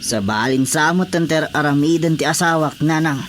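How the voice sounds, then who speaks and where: A man's voice: fairly high pitch, normal speed, a little hiss or hum, natural voice. A man playing an aggrieved farming husband in a radio drama, speaking in an insistent, complaining way. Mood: frustrated. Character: aggrieved farming husband fed up with his wife.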